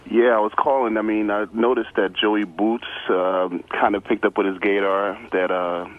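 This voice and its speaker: A man's voice: lowish voice, level tone, normal speed. A man phoning in to a radio show, talking casually and a little hesitantly. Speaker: phone-in caller. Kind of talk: hesitant casual call-in talk. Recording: thin muffled phone line.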